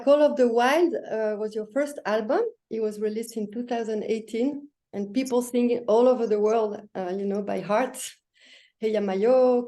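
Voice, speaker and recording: A woman's voice: a little high, warm tone. A woman hosting an interview, asking questions in an enthusiastic, admiring way. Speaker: interview host.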